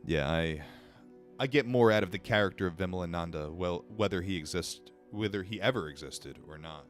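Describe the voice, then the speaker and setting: A man's voice: sits low, a little fast, soft background music. A young man reading a book aloud on a livestream, stumbling over words now and then and breaking off to answer chat in a casual, blunt, swearing way.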